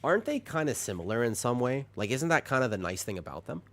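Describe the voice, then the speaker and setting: A man streaming a video game, chatting with viewers, reacting with excited high bursts and then dropping into quiet asides. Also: fast. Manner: animated, jumps into high excited bursts while reading chat; drops to low, quiet asides while setting up. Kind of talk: live-stream chat while gaming.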